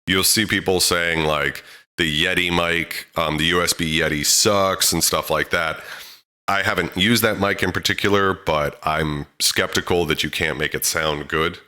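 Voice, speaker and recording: A man with a very low, deep voice and a crisp, bright edge talks through microphone tips in a loose, chatty way, with fillers like "um" and "you know". He speaks close to a handheld mic in a home studio. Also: unhurried. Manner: relaxed, chatty, frequent fillers. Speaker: audio tutorial host. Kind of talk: casual how-to explainer.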